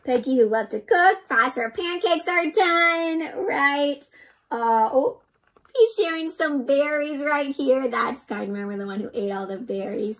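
A young woman with a high voice hosts an interactive storybook read-aloud for children. Her delivery swings widely in pitch and is very expressive. She keeps checking in with the listener through simple questions. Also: measured pace, faint music behind. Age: young adult. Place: indoor room.

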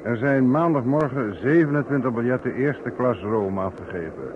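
An actor playing an obliging, formal railway clerk in an old radio drama. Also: male voice, medium pitch, moderate pace, natural voice. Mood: helpful, formal. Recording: tinny, noisy vintage radio recording.

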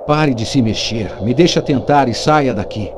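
A man's voice: mid-range voice, normal speed, close to an everyday voice. A man playing a tough, brusque hero in a dubbed action-adventure video game, speaking firmly and commandingly. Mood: urgent. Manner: firm, commanding. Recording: noisy game audio with ambience.

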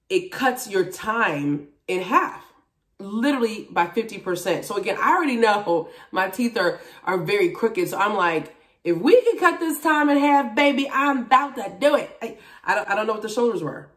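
A woman of about forty chatting casually to camera in a candid, expressive, emphatic way, recorded at home from a few feet away. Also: medium pitch. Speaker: vlogger, TV and radio personality. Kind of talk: casual chat to camera about a personal journey. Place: small indoor room at home. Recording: roomy echo, distant microphone.

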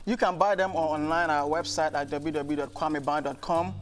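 A man's voice: on the high side, steady tone, a little fast, soft background music. A middle-aged man with a Ghanaian accent, explaining his work as an interview guest. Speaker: interview guest, shoemaker and business founder. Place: bright glass-walled TV studio. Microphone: lapel mic.

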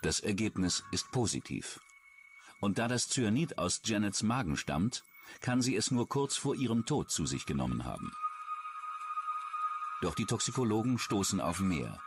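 A man narrating a true-crime documentary in a measured, suspenseful way from a script. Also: on the low side, big pitch swings. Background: background music.

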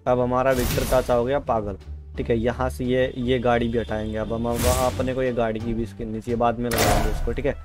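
A man doing casual gameplay commentary, chatting to viewers and repeating his phrases. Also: mid-range voice. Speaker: gaming video creator. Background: game music.

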